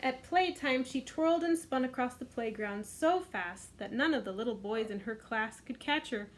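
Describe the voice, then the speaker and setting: A gentle, expressive middle-aged woman reads a picture book aloud to young children. Her voice sits a little high and lifts in a sing-song way as she tells the tale. A faint hum of noise stays in the background. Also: normal speed. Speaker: children's librarian. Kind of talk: storytime read-aloud for children.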